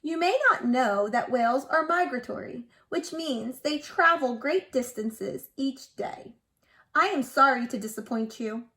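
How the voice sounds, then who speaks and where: A woman's voice: high voice, a little slow, fairly bright tone. A woman voicing a polite, firm male teacher who instructs a child in a picture-book read-aloud.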